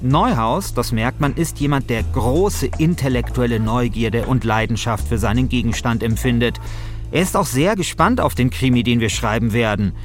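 A man's voice: mid-range voice, moderate pace, soft background music. A man hosting a podcast, moving between scripted narration and casual chat. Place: small radio studio. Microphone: studio microphone.

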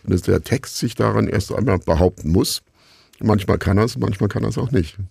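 A man's voice: fairly low pitch, normal speed, creaky, darkish tone, husky, no echo, clean studio sound. A man being interviewed as a radio play maker, thinking aloud in a reflective, discursive way.

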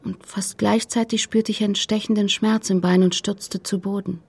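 A grieving middle-aged mother in a radio drama. Her voice is extremely husky and creaky, often close to a whisper, and she speaks guardedly and haltingly. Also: medium pitch, level tone, a little mellow. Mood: subdued, halting. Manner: halting, hesitant. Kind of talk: radio play acting.

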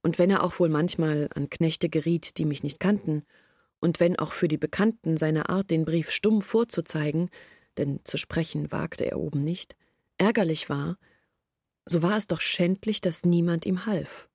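A woman's voice: deep voice, normal speed, a little mellow, breathy edge, no echo, studio quality. An actress playing a troubled young woman who earnestly recounts her family's long hardship in a literary radio drama. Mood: earnest, weary. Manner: earnest, reflective storytelling in long sentences. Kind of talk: German literary radio drama acting, monologue.

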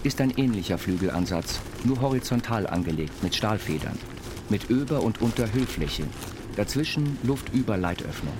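A man playing an earnest, dreamy inventor confined to an institution, reading his writings in a deliberate, formal way. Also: lowish voice, expressive, background noise, lightly acted. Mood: absorbed, technical. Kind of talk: scripted literary reading over music. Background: music.

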